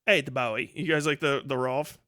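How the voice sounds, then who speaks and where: A man's voice: mid-range voice, fairly even intonation, a little fast, bright, forceful tone, no room sound, studio quality. A man hosting a casual livestream, reading viewer comments and riffing in a profane, sardonic way. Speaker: livestream host.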